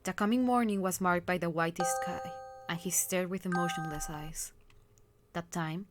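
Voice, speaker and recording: A woman with a fairly low voice narrates a visual novel. Her storytelling is scripted, measured and somber. Loud music plays underneath her voice. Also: steady tone.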